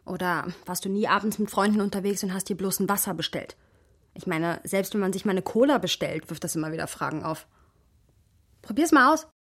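An actress playing a spirited, expressive young woman with a husky voice in a literary radio play. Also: mid-range voice, fairly quick, lightly acted. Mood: teasing. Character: Sophia, spirited, easily exasperated young woman, second-generation immigrant.

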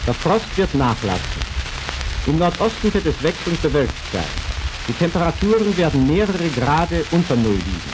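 A man's voice: fairly high pitch, sing-song, moderate pace. Man reading a crackly 1930s weather report. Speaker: radio newsreader. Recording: noisy, hissy 1932 disc recording.

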